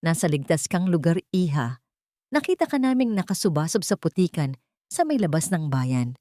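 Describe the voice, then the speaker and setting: A woman's voice: fairly low pitch, very animated, normal speed, dark tone, dry recording, studio quality. A woman voicing a kind, reassuring old farmer in an audio storybook. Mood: kindly, relieved. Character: kind, weathered old male farmer, gently reassuring rescuer.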